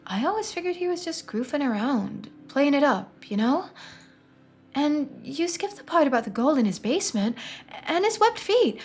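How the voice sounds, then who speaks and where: A woman voicing an excitable, chatty young woman who questions everything incredulously, as a character in a story game. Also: high voice, normal speed, faint music behind, voice pushed up.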